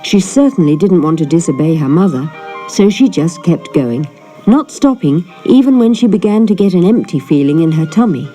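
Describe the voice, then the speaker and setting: A woman narrating a children's fairy tale in an expressive storytelling manner. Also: on the low side, moderate pace, soft background music, low-quality recording. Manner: animated storytelling. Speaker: storybook reader.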